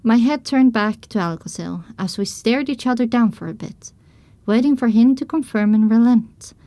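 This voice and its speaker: A storybook-style first-person narrator played by a woman, a pony mare telling her adventure. Her voice sits a little high and is recorded close and dry, with measured, descriptive delivery. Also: warm tone, no echo, lightly acted. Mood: narrating. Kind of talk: scripted audiobook narration.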